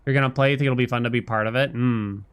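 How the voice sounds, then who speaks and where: A man's voice: mid-range voice, steady tone, rapid-fire, clean tone. A man livestreaming a video game, musing aloud and chatting casually with his viewers in a conversational way. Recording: game music behind the voice.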